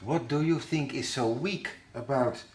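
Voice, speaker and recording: A man coaching an interviewer, slowly suggesting what to ask. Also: medium pitch. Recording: echoey room, faint noise.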